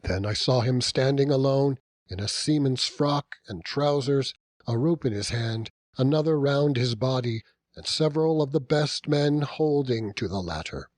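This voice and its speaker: A man narrating a classic novel as an audiobook, in a measured, dramatic storytelling manner with deliberate pauses. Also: mid-range voice, dry recording, studio quality. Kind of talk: scripted literary narration.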